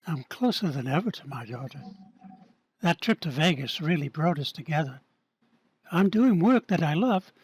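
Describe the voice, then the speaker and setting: A man's voice: on the high side, animated, moderate pace, a little hiss or hum, natural voice, poor audio. A man playing an earnest, desperate man pleading for his life in a staged play reading. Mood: hopeful.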